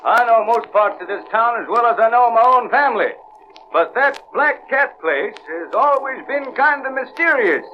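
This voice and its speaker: A man's voice: very high-pitched, expressive, moderate pace. A man playing an obliging, folksy American small-town cab driver in an old radio serial. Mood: musing. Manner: folksy, colloquial. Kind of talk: 1930s-40s radio serial acting. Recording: dull old radio recording, faint noise.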